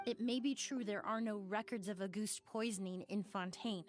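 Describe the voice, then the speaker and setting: An actress playing an earnest, sharp-minded young female investigator who reasons articulately in video game dialogue. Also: mid-range voice, fairly even intonation, moderate pace, faint music behind, natural voice. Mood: stern, deducing.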